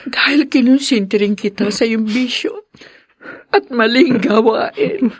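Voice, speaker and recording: A Filipino woman playing an older, guilt-troubled mother with a husky voice in a radio drama. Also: a little high, unhurried, no room sound, clean studio sound. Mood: regretful.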